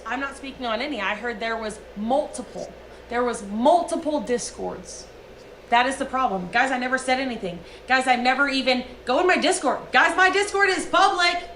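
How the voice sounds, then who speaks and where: A high-pitched, sharp-toned woman rants into her stream, swearing and hammering every point. Her voice rings in an echoey room, with a faint hiss under it. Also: moderate pace. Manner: profane, emphatic ranting. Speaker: livestreamer. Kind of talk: heated livestream rant.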